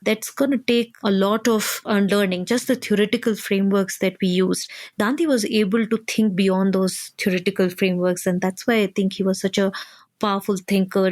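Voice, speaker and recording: A woman from India, a researcher and activist, giving thoughtful, measured interview answers that build points step by step. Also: medium pitch, a little mellow, no room sound.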